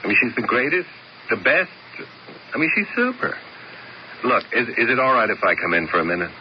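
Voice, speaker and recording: An actor playing a nervous, excitable young man who stammers and pleads, in a 1970s radio drama. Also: mid-range voice, fairly quick, lightly acted. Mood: agitated. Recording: dull old radio broadcast with faint hiss.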